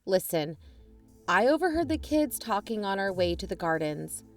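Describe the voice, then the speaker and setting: A woman in scripted audio drama dialogue, conciliatory and pleading, her pitch rising when anxious. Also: big pitch swings, moderate pace. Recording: music bed underneath.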